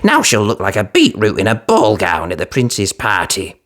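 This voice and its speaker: A woman's voice: a bass voice, huge pitch swings, normal speed, full character voice. A woman voicing a spiteful, mocking younger stepsister in a storybook reading. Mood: sneering. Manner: sneering, scolding. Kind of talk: storybook read-aloud.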